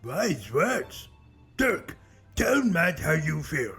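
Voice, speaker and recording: Gravelly, slow-talking cartoon brute. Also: male voice, a little high, very animated, fairly bright tone, soft background music, heavily acted. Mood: stern. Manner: simple broken grammar, stern. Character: slow-witted menacing brute who speaks of himself in third person. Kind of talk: comedic cartoon voice acting.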